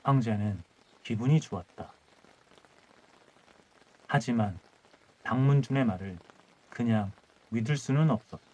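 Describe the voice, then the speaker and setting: A man's voice: medium pitch, a little slow, long pauses, mellow, low-quality recording. A man narrating a scripted historical folktale as an audiobook storyteller.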